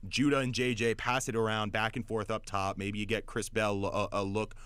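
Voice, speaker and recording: A man's voice: fairly low pitch, flat delivery, normal speed, fairly bright tone, smooth, dry recording. A man hosting sports talk radio, giving opinionated analysis emphatically and often repeating words as he gets going. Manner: emphatic, stammering repetitions. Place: radio studio.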